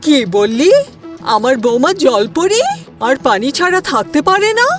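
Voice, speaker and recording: A woman's voice: high voice, sing-song, a little slow, soft background music. A woman voicing a bossy middle-aged mother-in-law in a cartoon, scolding and commanding. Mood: shocked.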